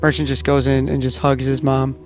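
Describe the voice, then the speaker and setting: A man's voice: medium pitch, level tone, brisk, faint music behind. A man taking part in a tabletop role-playing game, describing what his character feels and does.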